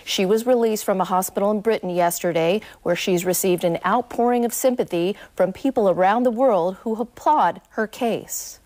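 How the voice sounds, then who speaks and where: A woman's voice: mid-range voice, normal speed, hardly any pauses, darkish tone. Woman anchor reading scripted news. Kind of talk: scripted newsreel narration.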